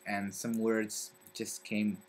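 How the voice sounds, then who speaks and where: A young man from Belgium with a French accent, talking casually to camera in a halting way and searching for his words. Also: lowish voice, level tone, a little hiss or hum. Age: young adult. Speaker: vlogger. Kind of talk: casual vlog talk to camera.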